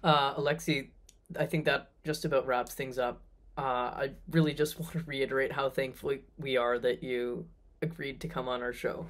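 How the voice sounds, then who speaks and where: A man hosting a podcast, thanking his guest in a relaxed, grateful way. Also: medium pitch, normal speed. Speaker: podcast host. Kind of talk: interview wrap-up.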